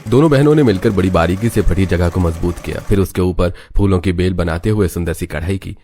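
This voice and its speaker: A man's voice: deep voice, brisk, warm tone. A man reading scripted narration for a cartoon family story.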